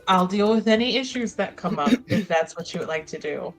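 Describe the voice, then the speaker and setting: A woman roleplaying in a tabletop game, speaking haltingly with casual asides. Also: mid-range voice, expressive, soft background music.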